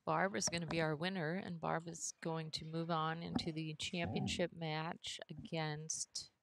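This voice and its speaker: A woman calling live bowling play-by-play on a headset, steady and dryly teasing. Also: low voice, unhurried, gravelly, noisy background. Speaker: commentator. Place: commentary booth at a bowling center.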